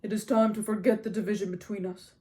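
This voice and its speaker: A woman voicing a proud, pompous king and army commander with a loud, formal, declamatory delivery, as in an audiobook. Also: mid-range voice, flat delivery, quick pace, natural voice. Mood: stiff, conciliatory.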